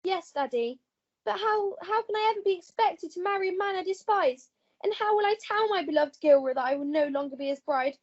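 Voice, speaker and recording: A woman's voice: very high voice, expressive, a little fast, muffled recording. A woman playing a melodramatic, lovelorn young English damsel in a comic radio play, overwrought and theatrical. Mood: distraught.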